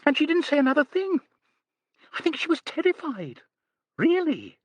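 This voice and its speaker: A breathless young woman with a high squeak, as a male narrator puts her on. She stammers and tumbles over her words, her voice leaping all over. The reading is dry and close. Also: sing-song, normal speed, a bit bright, husky, no room sound, full character voice. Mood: excited gossip. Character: nervous gossipy young woman who squeaks.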